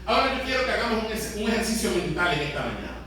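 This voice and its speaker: A man's voice: high-pitched, very animated, very fast, pushed and bright. A man with a Puerto Rican Spanish accent preaching a teaching sermon as a pastor, often putting questions to the congregation. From Puerto Rico. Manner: interactive, putting questions to listeners. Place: large echoey room, church service. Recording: very echoey hall, distant, faint noise.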